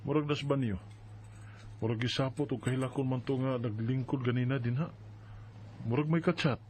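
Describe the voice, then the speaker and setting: A man's voice: mid-range voice, normal speed, a little mellow, slight background noise, lightly acted. A man playing a casual, observant boyfriend with a Filipino accent in a radio drama, speaking in an offhand, conversational way.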